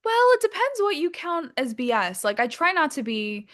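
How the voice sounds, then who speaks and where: A woman's voice: sits high, very animated, moderate pace, fairly bright tone. A woman chatting casually as an interview guest, candid and informal, swearing freely and using lots of filler likes. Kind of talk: casual chat.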